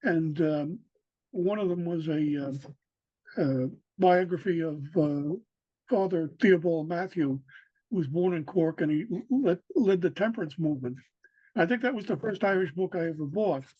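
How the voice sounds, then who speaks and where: Older New man, halting speech. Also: a little high, no room sound. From New York City. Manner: halting, many fillers and restarts. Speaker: interviewee, local historian. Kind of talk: casual recorded interview. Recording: dry, dull lo-fi sound.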